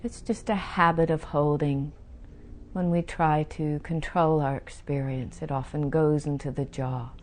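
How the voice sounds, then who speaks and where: A woman leads a guided meditation in a somewhat low voice. She speaks very slowly, leaving space between phrases. Her instructions are gentle and soothing, in the manner of a meditation teacher. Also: fairly even intonation, slight background noise. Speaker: meditation teacher guiding a session.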